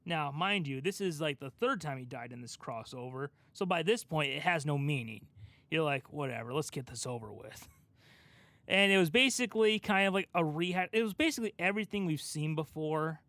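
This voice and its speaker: A man's voice: fairly high pitch, expressive, fairly quick, fairly bright tone, dry recording. A man co-hosting a casual pop-culture chat, asking questions and giving his opinions in an informal way. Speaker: podcast co-host asking questions.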